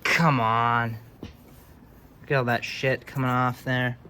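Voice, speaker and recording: A man's voice: medium pitch, flat delivery, slow pace, pushed and bright, clean tone. A man giving casual, hands-on commentary as a hobbyist electronics repairer, offhand and muttering as if to himself.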